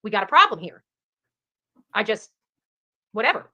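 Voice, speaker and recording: A woman's voice: mid-range voice, very animated, moderate pace, bright, forceful tone, highs cut off. A woman talking as an online true crime commentator in a livestream style, emphatic and given to repeating her phrases. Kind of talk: livestream case commentary and casual chat.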